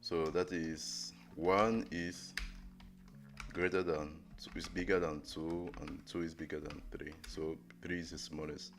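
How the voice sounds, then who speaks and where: Low, slow, gravelly young man. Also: little pitch movement. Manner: halting, many fillers and restarts. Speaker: coding livestreamer. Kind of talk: live coding tutorial, thinking aloud. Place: indoor room at a desk. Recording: music playing under the voice. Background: music playing and keyboard typing.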